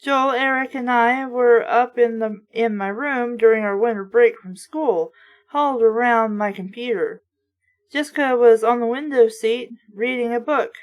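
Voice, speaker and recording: A woman's voice: on the high side, unhurried. A woman hosting an informal read-aloud of books, with casual, snarky asides along the way. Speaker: host reading books aloud.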